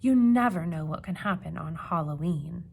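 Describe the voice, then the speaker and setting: A woman's voice: lowish voice, lively intonation, normal speed, vocal fry, a little mellow, husky, heavily acted. A woman voicing a frightened, dramatic bird in a children's picture book read aloud. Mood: shivering, nervous. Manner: exclaiming, fearful.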